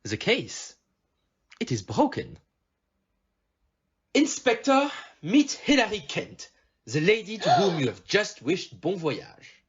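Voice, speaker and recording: An amateur performer's take on a famous fictional detective for an audio play, shrewd and courtly. The delivery is theatrical and extremely animated, dotted with French phrases and questioning tags. The sound is slightly dull and lo-fi. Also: mid-range voice, takes it slowly, long pauses. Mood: grave, triumphant.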